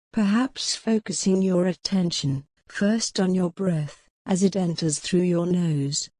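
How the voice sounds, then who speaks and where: A woman narrating a guided meditation in a measured, instructional manner, pausing gently between phrases. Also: lowish voice, dry recording, clean studio sound.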